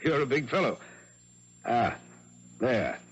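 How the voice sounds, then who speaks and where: A shrewd French sleuth in a 1970s radio mystery. He muses aloud with a theatrical, patronizing air and a very animated, swooping delivery. The sound is a slightly dull old broadcast. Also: medium pitch, slow pace, breathy edge, heavily acted. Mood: condescending, probing. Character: shrewd, condescending French amateur detective. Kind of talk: 1970s radio drama acting.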